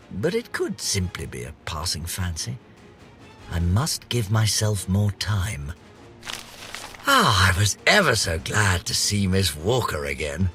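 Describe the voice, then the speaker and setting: An eccentric British gentleman scientist for a video game, vain and florid. His slightly low-pitched voice swings expressively as he recites his journal with theatrical pomp and eager self-importance. Music plays behind him. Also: creaky, heavily acted. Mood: musing. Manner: pompous, florid, theatrical, precisely worded. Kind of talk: dramatic narrated journal entries for a video game.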